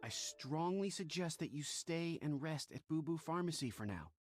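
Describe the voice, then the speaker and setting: An earnest young apprentice in a video game. His fairly high male voice moves expressively, polite and careful, over clear music. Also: lively intonation, normal speed. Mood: earnest, advising.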